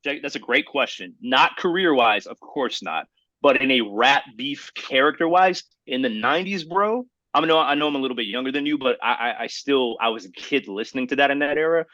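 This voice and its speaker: A man's voice: medium pitch, a little fast, bright, forceful tone, no room sound, rough recording. A man hosting a casual hip-hop podcast debate, speaking in an emphatic, slangy way and repeating himself for emphasis. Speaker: podcast host.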